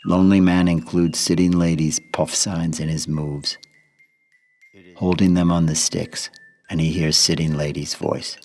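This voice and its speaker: A man narrating a poetic radio play in a solemn, deliberate manner. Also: low-pitched, level tone, darkish tone. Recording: long reverberant hall, mallet music behind.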